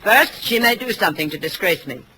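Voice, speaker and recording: A woman's voice: mid-range voice, expressive, fairly quick, pushed and bright, low-quality recording. An actress playing a cold, haughty, rich widowed stepmother in 1940s radio drama style, imperious, clipped and formal. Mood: disdainful. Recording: vintage radio recording, faint noise.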